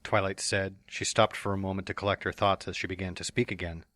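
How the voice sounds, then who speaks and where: Deep-voiced man narrating a story. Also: flat delivery, fast, pushed and bright, no room sound, clean studio sound. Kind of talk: fan dramatic reading of a story.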